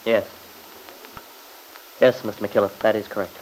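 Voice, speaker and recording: A man's voice: on the low side, flat delivery, moderate pace, darkish tone, lightly acted. A man playing an earnest, defensive young father testifying in an old radio drama. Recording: noisy old radio recording.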